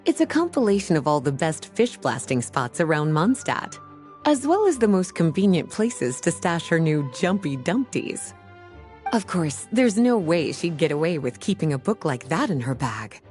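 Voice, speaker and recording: A woman playing a poised, knowing and gently teasing young librarian of magic in a video game. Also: low-pitched, big pitch swings, moderate pace, soft background music. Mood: amused. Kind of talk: video game character acting.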